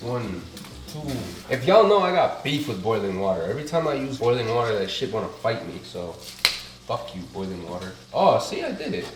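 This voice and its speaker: A young man with a stuffed-up nose, chatting casually and cursing as he cooks in his kitchen. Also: mid-range voice. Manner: casual, profane, chatty with Spanish food words. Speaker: cooking vlogger. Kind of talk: casual cooking vlog. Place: home kitchen. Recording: clear kitchen noise behind. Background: sizzling pan and cooking noises.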